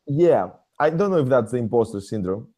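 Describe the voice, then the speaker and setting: Greek-accented man with a mellow voice. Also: medium pitch, fairly quick. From Greece. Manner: animated, swears casually, restarts sentences. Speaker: host, motivational speaker. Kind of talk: casual conversation.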